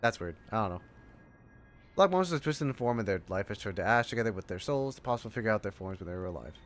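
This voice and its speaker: A man's voice: on the low side, expressive, fast talker. A man livestreaming a game, reacting casually and reading text aloud in informal, self-interrupting chatter. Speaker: game streamer. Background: game music.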